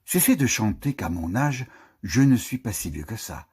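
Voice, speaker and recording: Husky low-voiced man reciting poetry. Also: sing-song, moderate pace. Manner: declamatory, dramatic swells dropping to hushed phrase endings. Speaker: poetry reader. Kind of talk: theatrical, expressive poetry recitation.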